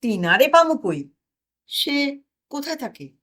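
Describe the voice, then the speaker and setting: A woman's voice: sits high, very animated, takes it slowly, fairly bright tone, pitched above the performer's own voice, exaggerated voice. A woman voicing a curious, naive teenage boy with an East Bengali accent, asking earnestly in an audio story.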